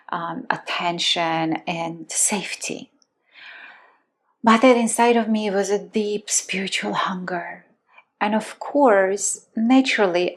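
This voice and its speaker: A woman, telling her life story earnestly and stressing key words. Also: on the low side. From Armenia. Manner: earnest and emphatic, repeats words for stress, slows down on key phrases. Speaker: love and relationship teacher. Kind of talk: personal storytelling.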